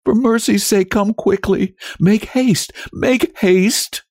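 A man's voice: sits high, slow pace, a little mellow, no room sound, studio quality, voice pushed up, full character voice. A man voicing a desperate young wife in a storybook reading, tearful, urgent and imploring. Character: desperate, pleading young wife begging for help.

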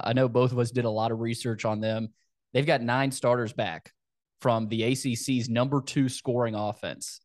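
A man's voice: mid-range voice, dry recording, clean studio sound. An American man hosting a sports podcast, talking through a football preview in a casual, conversational way.

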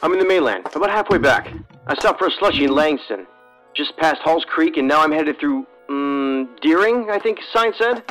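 Deadpan analytical male agent, animated pitch. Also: normal speed, faint music behind. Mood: musing, casual. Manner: deadpan, musing, pedantic. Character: analytical, unhurried male federal agent, bluntly perceptive. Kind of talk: audio drama acting.

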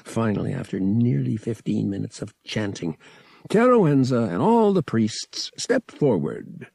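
Guy reading a sci-fi novel dramatically, mellow gravelly voice, pitch moves around a lot. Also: unhurried, no echo, clean studio sound. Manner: expressive, theatrical storytelling. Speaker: audiobook narrator. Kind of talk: dramatic scripted fiction narration.

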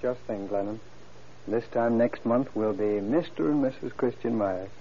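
An actor playing a devoted, easygoing young fiancé in a fond, boyish 1930s radio style. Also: male voice, medium pitch, normal speed, warm tone, natural voice. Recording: muffled, noisy old radio recording.

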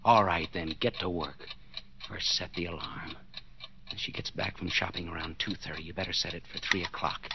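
A man's voice: low-pitched, steady tone, normal speed, lo-fi sound, natural voice. An actor in a 1940s radio thriller playing a betrayed husband who mutters coldly to himself as he plots murder. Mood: tense, careful. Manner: muttering, brooding self-talk in second person. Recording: noisy vintage 1940s radio broadcast.

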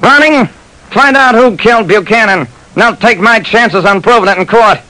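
An actor playing a big, urgent gambling boss in an old radio drama, forceful and commanding, on a noisy recording. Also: male voice, sits high, moderate pace. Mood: desperate, commanding. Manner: forceful, commanding, pleading his innocence.

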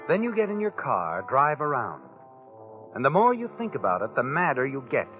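A sardonic male storyteller from a 1940s radio crime show. His pitch swings widely as he needles the listener in second person. The sound is thin and old, with music behind him. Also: wildly swooping pitch, normal speed. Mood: sardonic, taunting. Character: sardonic all-knowing male narrator of crime tales. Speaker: narrator. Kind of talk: 1940s radio drama narration. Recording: tinny vintage radio recording with music behind.

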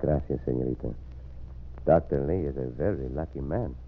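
A man's voice: rumbling low, fairly quick. A man playing a gallant, commanding yet courteous Mexican cowboy hero with a Mexican accent in an old-time radio western. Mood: courteous, warm. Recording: noisy, tinny old radio recording.

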